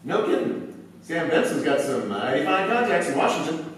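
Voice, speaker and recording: A pushy, hearty small-town booster acted by a man in an old-style radio play. His voice is high and bounces around with folksy hesitations. It comes from a distance in a very echoey hall. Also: big pitch swings, normal speed, a little hiss or hum. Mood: hearty, chummy. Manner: folksy, hearty, stammering with uhs. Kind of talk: staged 1940s radio play acting. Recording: echoey hall, far from the mic.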